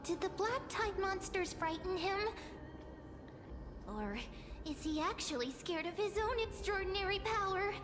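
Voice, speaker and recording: A tiny, curious fairy sidekick from a video game. The voice is extremely high and childlike, full of questions, over clear music. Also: female voice, a little slow. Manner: wide-eyed, inquisitive.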